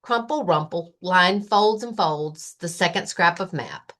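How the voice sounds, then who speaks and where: A woman librarian reading a children's story aloud, expressive and deliberate, with emphatic phrasing and playful sound words. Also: on the low side.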